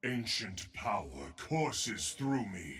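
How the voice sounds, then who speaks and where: An actor playing a proud, imperious desert emperor in a video game, grand, haughty and declamatory. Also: male voice, lowish voice, big pitch swings, takes it slowly, fairly bright tone, breathy edge, soft background music, exaggerated voice. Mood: solemn, imperious. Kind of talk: video game character voice lines.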